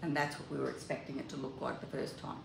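A woman's voice: very deep voice, level tone, fast, gravelly. An older woman from Australia hosting a home cooking demonstration, chatting to viewers as she works in her kitchen and picked up by a camera mic at a distance. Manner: chatty, talks to viewers while working. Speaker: cooking video host. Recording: echoey kitchen with appliance noise.

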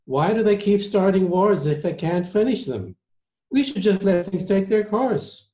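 A man's voice: sits high, animated, a little slow, dark tone, pitched above the performer's own voice. A man voicing a blunt, scornful American surgeon arguing his case in a novel reading. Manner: blunt, argumentative. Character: blunt, scornful prominent male New York surgeon. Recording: echoey room.

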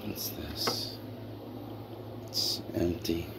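Low gravelly man muttering to himself. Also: flat delivery, drawn-out words. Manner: mutters half-whispered asides to himself while browsing. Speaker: reseller. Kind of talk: casual vlog commentary. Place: cluttered house at an estate sale, indoors. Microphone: handheld phone camera. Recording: loud background noise.

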